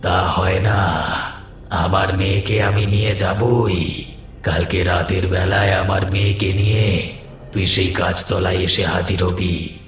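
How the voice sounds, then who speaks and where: Slow, echoing, deep jinn acted by a man. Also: fairly even intonation. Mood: menacing, commanding. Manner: slow, commanding, ominous. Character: menacing male jinn demanding back his long-lost daughter. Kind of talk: dubbed horror cartoon dialogue. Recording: echoing, with music underneath.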